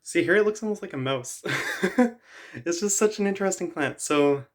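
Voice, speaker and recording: A man vlogging about a houseplant in a chatty, enthusiastic way, with many "uh" fillers. He is a little hoarse from losing his voice. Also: high voice, lively intonation, normal speed. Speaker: plant vlogger. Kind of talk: casual show-and-tell.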